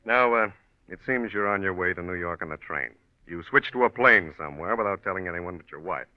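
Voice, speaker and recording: An actor playing a dry, probing American homicide lieutenant in a 1940s radio drama, folksy and deliberately offhand as he questions. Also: deep voice, animated, no echo. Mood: probing, skeptical. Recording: muffled old radio recording.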